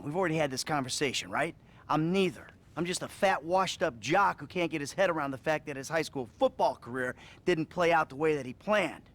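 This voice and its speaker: An actor playing a weary, defensive middle-aged ex-criminal who talks bluntly and swears, in a video game cutscene. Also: male voice, a little high, fairly bright tone. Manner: blunt, profane, self-mocking. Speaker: therapy patient.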